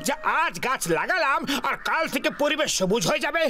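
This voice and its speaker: A man's voice: very high voice, expressive, a little fast. A man voicing a boastful, impulsive cartoon buddy with an emphatic, blustering delivery. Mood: eager. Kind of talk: cartoon dub acting. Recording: music behind the voice.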